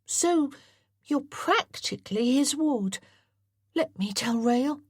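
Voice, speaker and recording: A woman's voice: high voice, animated, slow, breathy edge, no echo, studio quality, pitched above the performer's own voice, exaggerated voice. A woman voicing an earnest, well-bred young boy who speaks in a precise, formal way. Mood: pleading.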